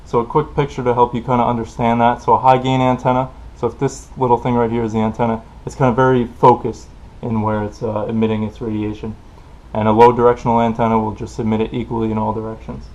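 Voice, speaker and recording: A man's voice: mid-range voice, little pitch movement, quick pace, darkish tone. A man giving an informal technical explainer in a plain-spoken, loosely improvised way, as a tutorial presenter. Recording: dull, lo-fi voice recording.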